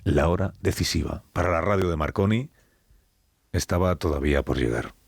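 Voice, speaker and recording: A man narrating a scripted audio documentary, telling the story in a measured, dramatic way with pauses. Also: low voice, gravelly, husky, dry recording, studio quality, soft background music.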